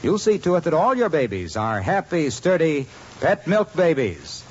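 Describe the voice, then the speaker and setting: A man's voice: on the high side, huge pitch swings, normal speed, breathy edge, soft background music. Polished 1950s radio announcer man. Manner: earnest, polished announcer delivery. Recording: dull old radio broadcast with light hiss.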